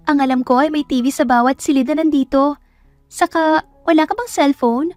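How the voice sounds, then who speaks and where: A woman's voice: high-pitched, normal speed, a little mellow, no echo, soft background music, voice pushed up, natural voice. A woman voicing a bubbly, kind, chatty young female housemate in a romance audio drama. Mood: chatty, instructive. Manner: exclaiming, chatty, bossy in a friendly way.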